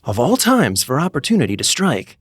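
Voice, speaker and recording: A man narrating a scripted comedic story in an expressive, theatrical way, with music playing behind him. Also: medium pitch, a little fast, slightly rough, no echo, studio quality. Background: background music.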